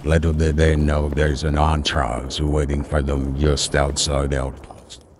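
A man voicing a solemn documentary narrator, ominous and deadpan, through a voice changer. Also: rumbling low, unhurried, a little hiss or hum, voice pushed down. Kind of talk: mock-dramatic narration over gameplay.

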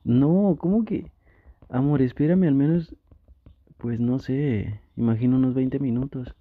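A man with a Mexican accent playing a flustered, easily tempted gamer boyfriend in an intimate ASMR roleplay, talking in a halting, coaxing way. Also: mid-range voice, dry recording, narrow, tinny audio, close to an everyday voice. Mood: flustered, pleading.

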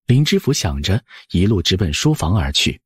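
A man's voice: deep voice, very animated, moderate pace, slightly rough, no echo, studio quality. A man narrating an audiobook in a scripted storytelling style.

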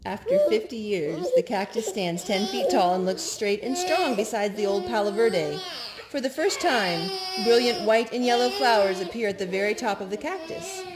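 Animated woman reading to little children. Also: medium pitch. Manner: animated, child-directed, with gasps and drawn-out words. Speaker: parent reading to young children. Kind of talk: children's picture-book read-aloud. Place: living room at home, indoors. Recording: home recording with background noise.

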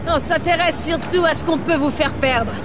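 Woman playing a gutsy, very high-voiced adventurer. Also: normal speed, a crowd in the background, background noise, natural voice. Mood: defiant, sardonic. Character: tough, defiant adventurer woman, quick-witted and gutsy. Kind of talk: French video game dub acting. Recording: loud action music over the voice.

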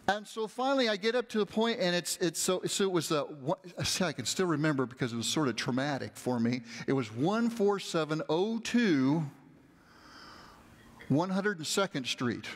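A middle-aged male pastor with a fairly high voice tells a story from a church stage, conversational and animated. His words ring with the long reverb of a large hall. He sounds like he is speaking into a body mic while walking and gesturing. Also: moderate pace. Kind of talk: storytelling sermon.

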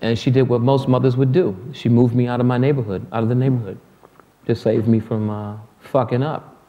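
A man's voice: medium pitch, little pitch movement, brisk, highs cut off. A middle-aged man from Detroit, a guest musician telling stories in a loose, joking, profane way during an on-stage interview in front of an audience. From Detroit, USA. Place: hall with an audience. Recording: long hall reverb.

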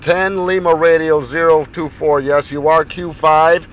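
A man's voice: on the high side, level tone, unhurried, slight background noise. Direct American man on muffled radio. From USA. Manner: direct, procedural. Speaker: radio operator. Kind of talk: radio contact exchange.

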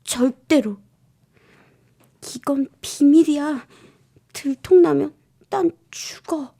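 A woman voicing an indignant third-grade schoolboy who tells his story in an earnest, plaintive, confiding way, as in an expressive children's storybook reading. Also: sits high, slow, vocal fry, voice pushed up, exaggerated voice. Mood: uneasy, defiant.

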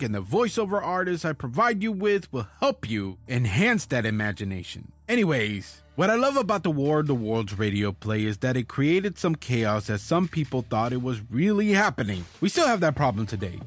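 Male podcast host, fairly high voice, chatty and informal, music underneath. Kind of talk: enthusiastic podcast introduction. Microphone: recorded at home, voice-over.

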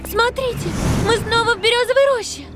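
A woman's voice: very high-pitched, fairly quick, over loud music. A woman voicing a spirited, caring young girl explorer in a children's cartoon, eager and exclamatory. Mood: cheerful. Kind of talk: animated children's cartoon dub.

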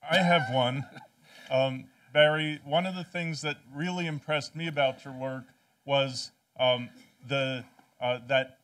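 A man's voice: medium pitch, a bit bright. Hesitant older man asking from the audience. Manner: hesitant, with many fillers. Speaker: audience member asking a question. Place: large conference hall, in the audience. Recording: distant conference hall sound.

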